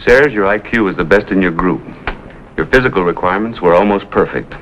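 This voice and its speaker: Firm fatherly american navy officer, lowish voice, really animated, old 50s tv sound w faint hiss. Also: brisk, dull audio. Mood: regretful, official. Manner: authoritative, explanatory. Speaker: actor. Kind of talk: 1950s television drama acting. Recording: faintly noisy 1950s television soundtrack.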